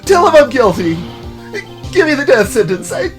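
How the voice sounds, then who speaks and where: A melodramatic young defendant in a courtroom game. The voice is pushed high and loud, stammering and wailing in despair, swooping widely over background music. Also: male voice, very animated, normal speed. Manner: shouted, stammering wails. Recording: music playing behind the voice.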